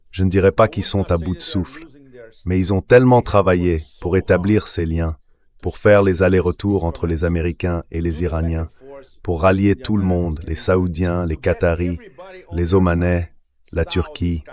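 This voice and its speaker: A man's voice: deep voice, a little mellow. A man's AI dubbing voice delivering translated political commentary as an interview guest. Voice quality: synthetic AI dubbing voice.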